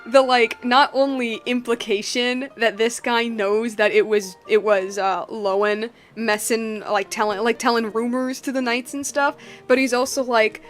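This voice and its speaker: A woman giving casual, playful commentary as she plays through a game, rambling and excitable. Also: a little high, unhurried, hardly any pauses. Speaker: gaming streamer. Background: game music.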